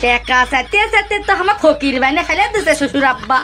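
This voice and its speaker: A man's voice: very high-pitched, expressive, fast, pushed and bright, soft background music, full character voice. A man voicing a naive, pestering young village son-in-law in a comic cartoon, with a whiny manner and a northern Bangladeshi accent. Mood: whiny indignation. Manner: innocent, pestering questions with whiny indignation.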